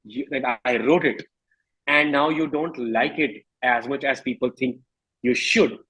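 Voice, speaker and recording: A man in his thirties speaks with an Indian accent in a medium-pitched, slightly rough-edged voice. He talks like a musician being interviewed, candid and reflective, circling back to reword his thoughts as he goes. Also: a bit bright, poor audio. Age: 30s. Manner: reflective, halting, rephrasing often.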